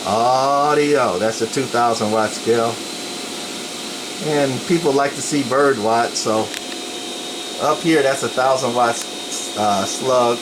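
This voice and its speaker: A man's voice: mid-range voice, slow. A man giving a casual, plain-spoken hands-on equipment demonstration, narrating as he works. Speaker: radio hobbyist presenter. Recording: noisy room with steady equipment hum.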